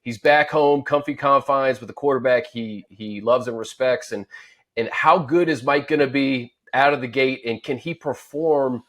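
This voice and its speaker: A man's voice: medium pitch, moderate pace, fairly bright tone. A man hosting a sports talk discussion, setting up topics and posing questions to guests. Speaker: sports show host.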